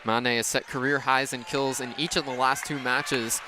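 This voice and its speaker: Young male play-by-play announcer over gym noise. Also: mid-range voice. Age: young adult. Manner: clipped, rapid-fire phrases that build into excited calls. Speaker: radio play-by-play sports announcer. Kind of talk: live radio play-by-play commentary. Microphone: headset. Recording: noisy gym with court sounds behind. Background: gym ambience, bouncing ball.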